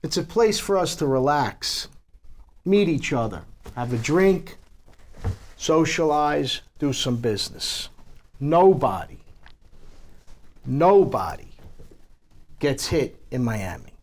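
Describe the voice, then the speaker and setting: A man plays a commanding mob leader at a table read. He takes his time with slow, deliberate lines. His fairly high voice moves through a wide range as he keeps order. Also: lively intonation, darkish tone, heavily acted. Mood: businesslike, firm. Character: authoritative mob commission leader keeping order. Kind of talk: script table reading.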